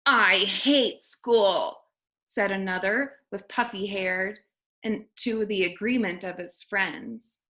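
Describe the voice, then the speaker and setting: A woman, a kindergarten teacher, reading a children's storybook aloud expressively and deliberately to young listeners. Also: mid-range voice, fairly even intonation, fairly bright tone.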